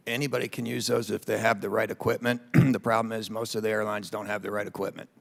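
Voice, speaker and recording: A man testifies in a lowish voice thick with creak. His answers are dry and wry, with very little movement in pitch. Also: little pitch movement, fast talker. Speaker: witness at a hearing.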